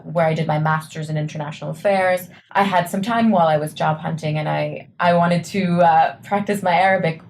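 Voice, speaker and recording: Low-voiced young woman explaining earnestly. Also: a little mellow, slight background noise. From New York, USA. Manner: earnest, explanatory, frequent "uh" and "um" fillers. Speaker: startup co-founder, podcast guest. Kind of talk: interview answers.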